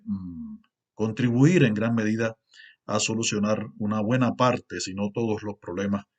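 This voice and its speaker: A middle-aged man with a Cuban accent speaks in a somewhat low voice. He delivers a formal academic talk at a steady, earnest pace, often pausing with fillers as he builds his points. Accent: Cuban Spanish. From Cuba. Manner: measured, earnest, with filler pauses. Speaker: university lecturer.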